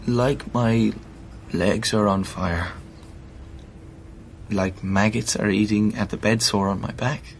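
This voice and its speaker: A man's voice: lowish voice, steady tone, slow pace, gravelly, pauses often, a little hiss or hum. An actor with an Irish accent playing a seriously ill man of thirty, blunt and sardonic, in a naturalistic radio play. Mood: weary, serious. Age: 30s. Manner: dry, blunt, colloquial.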